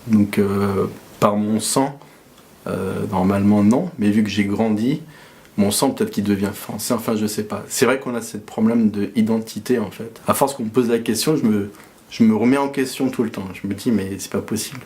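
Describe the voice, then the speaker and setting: A man's voice: fairly low pitch, fairly even intonation, moderate pace, darkish tone. A young man from France, talking casually and reflectively as an interviewee, hesitant with many fillers and restarts.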